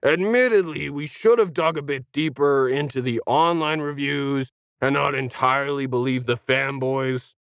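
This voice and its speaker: A man performs a brash alien for a sci-fi storybook, snarky and slangy. The voice has some gravelly creak and sits close and dry, full of condescension. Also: mid-range voice, fairly even intonation, normal speed, few pauses, fairly bright tone, dry recording, close to an everyday voice. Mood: sardonic. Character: brash sarcastic tentacled alien, condescending and fast-talking. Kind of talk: acted sci-fi storybook dialogue.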